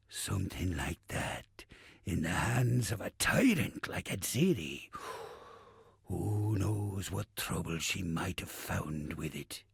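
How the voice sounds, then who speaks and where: An actor playing a rowdy, roguish old pirate captain in a video game, with a raspy, gravelly voice, a stage pirate accent and salty, theatrical slang. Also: male voice, fairly low pitch, no echo, studio quality, heavily acted. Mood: conspiratorial storytelling. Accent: stage pirate English. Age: older. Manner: salty, theatrical, swaggering delivery with archaic slang. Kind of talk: video game character acting.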